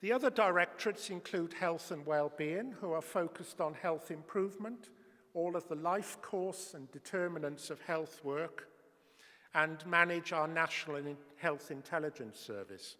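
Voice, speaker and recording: A man's voice: fairly high pitch, pushed and bright. Man presenting in an echoing hall. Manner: measured, explanatory, occasional hesitations. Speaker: conference speaker, public health official. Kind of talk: formal conference presentation. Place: conference hall. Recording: reverberant lecture hall.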